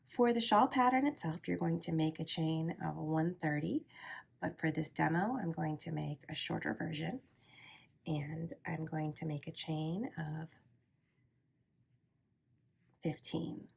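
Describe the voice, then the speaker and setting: A low-pitched woman with a gravelly, husky voice, stuffed up from a cold. She explains each step at a calm, steady pace and sometimes drops to a soft near-whisper. Her tone is patient and instructional. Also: a little slow, long pauses. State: congested from a cold. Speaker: crochet teacher. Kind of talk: step-by-step craft tutorial.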